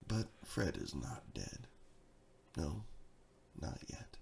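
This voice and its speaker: A man reading a rhyming story theatrically, swinging between hushed and excited delivery, with a gravelly creak in the voice. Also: low-pitched, drawn-out words, slight background noise. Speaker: narrator, storyteller.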